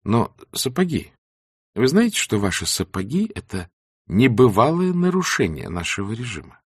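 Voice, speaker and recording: A man voicing a shy young woman doctor, softly pleading and mildly reproachful. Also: mid-range voice, big pitch swings, normal speed, husky, dry recording, clean studio sound, voice pushed up. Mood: teasing reproach. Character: gentle, shy female doctor, around thirty, mildly reproachful.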